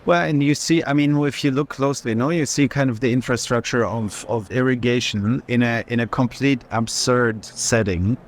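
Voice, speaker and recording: A man's voice: medium pitch, normal speed, hardly any pauses. A man in an unscripted walking conversation about art, often turning his statements into questions with a short tag at the end. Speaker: art institution director in conversation.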